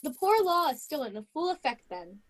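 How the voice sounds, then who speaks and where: Woman playing grumpy older female miser, high voice, cold, curt, scornful, snapping, amateur radio drama. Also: sing-song, brisk, a little hiss or hum. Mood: cold, dismissive. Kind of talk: amateur radio play acting.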